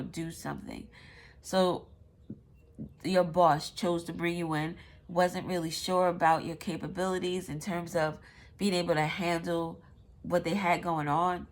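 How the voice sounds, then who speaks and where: A woman with a stuffy nose giving a casual, rambling tarot reading, swearing now and then. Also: fairly low pitch. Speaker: tarot reader.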